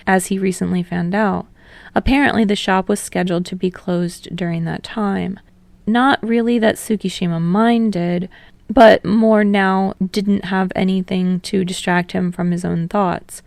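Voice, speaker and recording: A woman's voice: medium pitch, gravelly, a little mellow, no echo. A woman reading fiction aloud as a scripted audiobook narrator.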